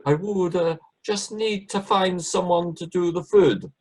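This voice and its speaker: A man playing an eager, proud Scottish club member in an amateur radio play, with a husky voice and a Scottish accent. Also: sits high, a little slow, a little mellow.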